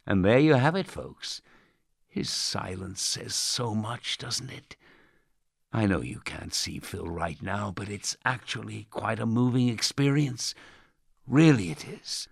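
A man acting a manipulative radio host in a dark audio drama, falsely kind, theatrical and coaxing, with a husky, gravelly voice. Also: fairly low pitch, lively intonation, slow, dry recording, clean studio sound, full character voice. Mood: showman solemnity. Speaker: radio presenter.

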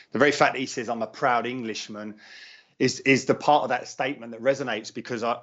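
A middle-aged man from London speaks quickly and with conviction. He keeps restarting his sentences as he argues his point in a radio interview. The sound is dull and lo-fi, like a phone line. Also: medium pitch, fairly bright tone. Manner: earnest, firm, frequent restarts. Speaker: former footballer, radio guest.

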